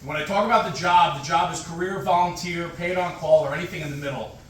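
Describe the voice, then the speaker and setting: Middle-aged man, high-pitched, punchy emphatic speech, booming hall echo, distant mic. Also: fast talker, fairly bright tone, slight background noise. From United States. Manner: emphatic, repetitive, punchy short phrases. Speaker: guest speaker at a fire department event. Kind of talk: motivational speech. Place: large echoey hall with an audience.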